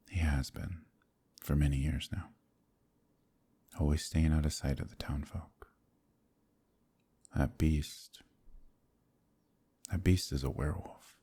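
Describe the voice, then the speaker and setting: A man acting a courteous, brooding werewolf in human form, with a gravelly, husky voice and halting, old-fashioned speech in a dramatic ASMR roleplay. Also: extremely low pitch, mellow, full character voice. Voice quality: gravelly, husky, breathy at times. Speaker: voice actor in an audio roleplay.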